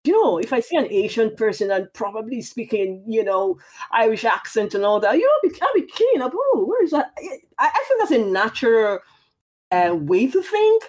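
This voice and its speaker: A woman's voice: a little high, sing-song, moderate pace, darkish tone. A woman from Nigeria with a Nigerian accent talking conversationally as an interview guest, often repeating phrases for emphasis. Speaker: podcast guest.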